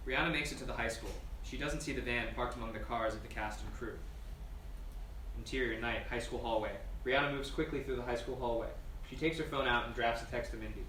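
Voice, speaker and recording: Male stage-directions reader, mid pitch, scripted narration, echoey and slightly noisy. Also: steady tone, a little fast, bright, forceful tone. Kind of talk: scripted narration at a table read. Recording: echoey, distant room mic with noise.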